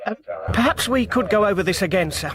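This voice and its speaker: An actor playing a deferential, questioning police sergeant in a radio drama. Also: male voice, high-pitched, expressive, fast talker, a crowd in the background. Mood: dutiful doubt.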